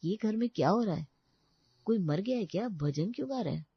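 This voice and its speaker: Woman doing an emotional excitable village man, mid pitch, melodramatic, muffled story audio. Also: fairly quick, breathy edge, no room sound. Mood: bewildered.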